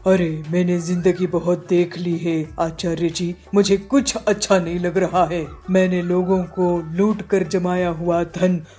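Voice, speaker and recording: A humble cartoon bandit, acted out by a performer, pleads with earnest deference. The words come slowly at a middling pitch, with music underneath. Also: level tone, warm tone, lightly acted. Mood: troubled, remorseful. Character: repentant bandit, humble, pleading, seeking a better life. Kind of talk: acted moral-story cartoon dialogue. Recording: music behind the voice.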